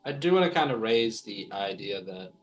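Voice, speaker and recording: A man playing a tabletop game, talking things through in a measured, tentative way. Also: on the low side, animated. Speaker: player in a tabletop roleplaying game. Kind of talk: casual in-character discussion.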